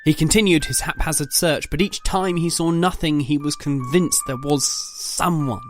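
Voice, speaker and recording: A man narrating a scripted dramatic story. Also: on the high side.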